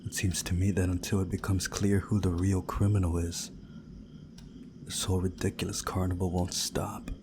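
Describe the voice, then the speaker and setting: A man reading a visual novel aloud as a playthrough host, in a measured, deliberate way with pauses. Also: low-pitched, little pitch movement, noisy background. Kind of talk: scripted narration of a visual novel with commentary.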